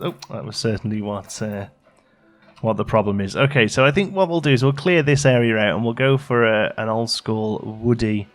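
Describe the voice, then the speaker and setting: Guy doing chill lets play commentary, lowish voice, thinking out loud, music in background. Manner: chatty, thinking aloud as he plays. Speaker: gaming channel host. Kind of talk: casual let's play commentary.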